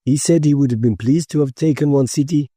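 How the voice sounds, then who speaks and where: A man voicing a calm, unhurried young male rebel in audiobook dialogue. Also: fairly high pitch, husky, no echo, studio quality, pitched above the performer's own voice. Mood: calm, assured.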